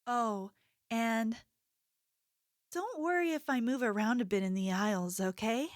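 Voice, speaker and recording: Woman voicing young female store clerk for ASMR roleplay: sweet, solicitous, slightly high, unhurried, soft, secretly possessive. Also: no echo, studio quality, natural voice. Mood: sweet, attentive. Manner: gentle, apologetic, hesitant, solicitous.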